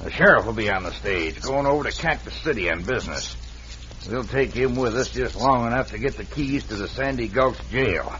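A man voices an impatient outlaw boss in an old Western radio play. His voice is forceful and bright. The recording is dull, with a faint hiss. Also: mid-range voice, animated, fairly quick, close to an everyday voice. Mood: scheming. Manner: bossy, impatient. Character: gruff male outlaw ambushing a stagecoach. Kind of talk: 1950s radio drama acting.

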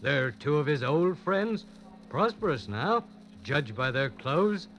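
A man hosting and narrating an old radio mystery show in a measured, formal manner. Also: fairly high pitch, very animated, a bit bright, slight background noise. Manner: measured, formal storytelling delivery. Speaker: host and narrator. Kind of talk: 1940s radio drama narration. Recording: old 1940s radio broadcast.

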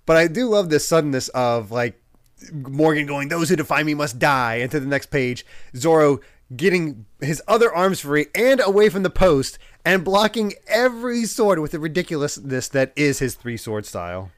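A man's voice: fairly high pitch, moderate pace, dry recording, studio quality. A man hosting a casual podcast, a little congested from a head cold, laughing often as he chats. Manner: laughing, animated, acts out quotes. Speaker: podcast host.

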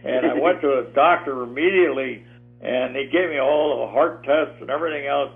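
Gravelly-voiced man near ninety, rambling phone-in guest, tinny line. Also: medium pitch, brisk, faint music behind. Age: 80s. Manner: rambling, repeats words, chatty. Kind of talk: casual phone interview. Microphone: telephone.

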